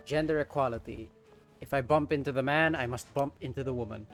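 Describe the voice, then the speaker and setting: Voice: medium pitch, brisk, soft background music. A game streamer commentating casually with dry asides.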